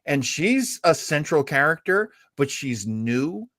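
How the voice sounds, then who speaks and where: A man's voice: on the high side, very animated, takes it slowly, a bit bright, no echo, studio quality. An older man chatting casually as a podcast host in a fan discussion, with a self-deprecating manner.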